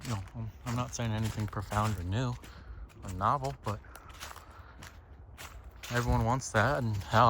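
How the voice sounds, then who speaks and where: A man thinking aloud in a halting, casual, reflective monologue while walking outside on a trail. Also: mid-range voice. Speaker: solo vlogger. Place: outdoors, walking on a trail by a lake. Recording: outdoor ambient noise.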